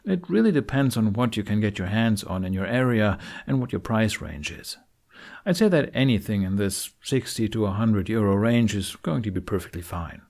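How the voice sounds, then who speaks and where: A man's voice: lowish voice, animated, moderate pace, vocal fry, darkish tone. A man narrating a tutorial voice-over in a frank, conversational manner, recorded at home on a USB microphone. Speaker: tutorial presenter. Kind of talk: informal scripted tutorial voice-over. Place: living room, home recording. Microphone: budget USB microphone on an arm.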